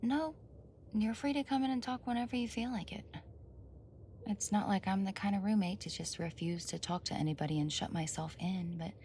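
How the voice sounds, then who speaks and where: A woman's voice: mid-range voice, warm tone, a little hiss or hum, natural voice. A woman acting a flustered, defensive young roommate caught off guard in an ASMR roleplay, stammering, indignant and evasive. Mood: flustered, explaining. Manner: stammering, indignant, evasive, sighs and nervous laughs.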